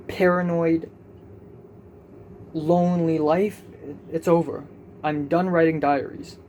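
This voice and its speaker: A man's voice: a little high, a little mellow, a little hiss or hum. Rambling young man vlogging at home. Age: young adult. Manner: rambling, self-mocking, chatty. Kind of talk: casual diary vlog.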